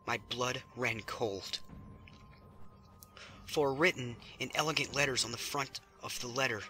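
A man narrates a horror story with theatrical suspense. His voice is very bright and forceful, at a medium pitch. Music plays softly behind him. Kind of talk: dramatic scripted horror narration. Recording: music under the reading. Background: background music.

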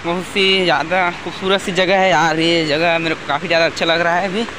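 A man's voice: fairly high pitch, fairly even intonation, brisk. A young man from India narrating a casual travel vlog, talking into a phone outdoors. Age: young adult. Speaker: vlogger. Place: outdoors at a forested waterfall. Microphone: phone at arm's length. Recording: outdoor wind and waterfall noise.

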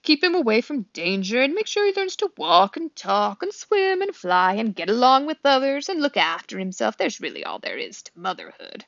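A woman voicing an old gray goose, female, brisk, blunt and suspicious, squawking as she scolds and advises. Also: a little high, very animated, a bit bright, no echo, highs cut off. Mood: brisk, advising. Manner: scolding, blunt, practical advice-giving.

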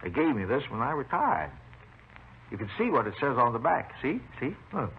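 An actor playing a garrulous, folksy, meticulous retired railroad engineer who carves wood, in a 1950s radio drama. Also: male voice, mid-range voice, very animated, normal speed. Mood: chatty, precise. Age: older. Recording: noisy old radio recording, narrow phone-like band.

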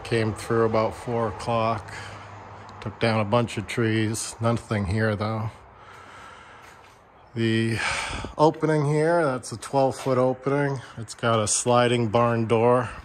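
A man with a middle-pitched voice talks slowly and plainly as he tours a building site outdoors in the woods. He films on a handheld phone, with a faint outdoor ambience behind him. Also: steady tone, slight background noise. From Vermont. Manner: plain, matter-of-fact, unhurried. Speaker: builder giving a site tour. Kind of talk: casual walkthrough narration. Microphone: handheld phone camera.

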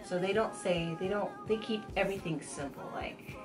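A young woman from Uganda giving a casual tutorial as a guest, explaining in a halting, conversational way. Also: mid-range voice, low-quality recording. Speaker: guest head wrap vendor giving tutorial. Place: small indoor room, sequin backdrop. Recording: music playing behind the voice.

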